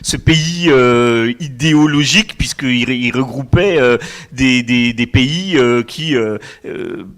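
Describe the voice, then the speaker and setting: A man, a guest author on a book-fair panel, explaining in a measured way. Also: mid-range voice, a bit bright.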